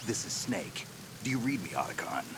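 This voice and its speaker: An actor playing a gruff middle-aged soldier on a video game radio call, speaking in a terse, dry, understated way. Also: male voice, lowish voice, big pitch swings, normal speed, heavy background noise.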